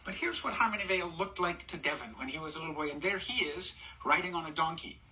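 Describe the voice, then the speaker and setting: An older man reads a children's picture book aloud at home. His voice sits a little high and rings very bright. He chats between pages, asking the young listeners questions and pointing things out. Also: fast talker, low-quality recording. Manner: warm, chatty, stops to ask children questions and point at pictures. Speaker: storybook reader.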